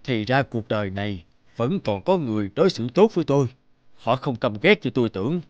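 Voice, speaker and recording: Man voicing a rough street robber, in cartoon. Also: mid-range voice, expressive, fairly quick, dry recording, highs cut off, lightly acted. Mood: reflective, grateful. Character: rough male robber, menacing, later remorseful. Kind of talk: animated morality tale voice acting.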